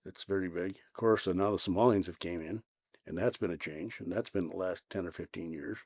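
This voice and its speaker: A man in his sixties, a city maintenance worker, talking in a plain-spoken, unhurried way with many fillers. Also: sits low, a little mellow, no echo, studio quality. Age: 60s. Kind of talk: casual interview.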